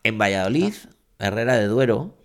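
Lowish male voice, rambly storyteller, teasing, gets loud n excited, podcast chat. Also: normal speed. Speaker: podcast co-host. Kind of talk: casual comedy chat.

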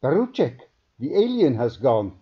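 A man voicing a weary, excitable older alien scientist in an emphatic way, on a muffled recording. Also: medium pitch, unhurried, husky, voice pushed up. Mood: alarmed. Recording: dull, muffled narrow-band recording.

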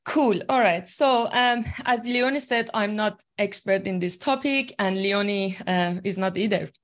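A woman's voice: medium pitch, unhurried, a bit bright. A woman from Iran whose first language is Farsi, a tech team leader speaking as an interview guest, explaining earnestly from her own experience with many fillers. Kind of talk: interview conversation.

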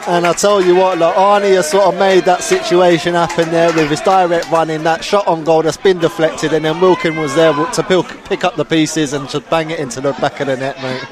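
Bloke doing colour commentary, slangy and chatty, fairly high voice, loud crowd behind. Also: male voice, flat delivery, fairly quick, hardly any pauses. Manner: chatty, partisan, casual slang. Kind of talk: casual match analysis. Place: outdoors at a football ground. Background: crowd noise.

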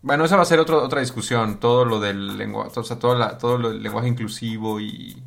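A co-host on a casual talk show with a mid-pitched voice, joking and tossing out quick questions while thinking aloud. Faint music runs under the talk. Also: vocal fry. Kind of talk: casual radio chat.

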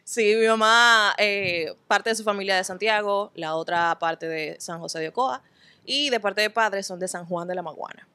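A woman's voice: medium pitch, moderate pace, sharp tone, clear, resonant tone, no room sound. A woman, a guest telling stories in a casual interview. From Dominican Republic. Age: 20s. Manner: chatty, laughing, storytelling.

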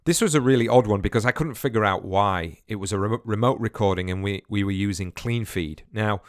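A man's voice: fairly low pitch, a little fast, no echo, studio quality. A man hosting a podcast, talking casually and rambling, with frequent restarts and repeated words. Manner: chatty, rambling with many restarts. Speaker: podcast host. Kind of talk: casual solo podcast talk. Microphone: remote-recording podcast setup with headphones.

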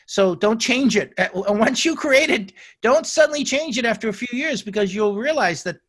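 A man's voice: extremely high pitch, animated, moderate pace, fairly bright tone. A man in his sixties, a guest telling his career story in an interview, with frequent restarts. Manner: storytelling, self-correcting restarts. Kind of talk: interview answers.

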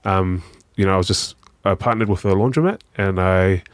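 A young man with a New Zealand accent, talking as a podcast guest in a relaxed, rambling way full of fillers. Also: low-pitched, moderate pace, no echo. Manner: relaxed, rambling, lots of "you know" and "um", says "bro". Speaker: guest, charity founder. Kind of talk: casual podcast conversation.

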